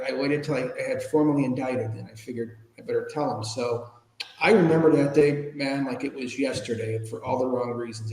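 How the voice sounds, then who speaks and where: Male guest, American, 50s plus, mid-pitched, candid and halting storyteller, recorded in a reverberant room. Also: a little mellow. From Chicago, United States. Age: 50s or older. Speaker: podcast guest, ethics trainer and speaker. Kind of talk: candid personal storytelling in an interview. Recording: roomy, echoing reverberant room.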